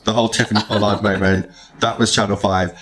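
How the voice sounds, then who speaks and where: A man's voice: fairly low pitch, little pitch movement, fast. A British man in his thirties hosting a podcast in a casual, chatty, enthusiastic and self-deprecating way. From United Kingdom. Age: 30s. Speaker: podcast host. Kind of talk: casual chat.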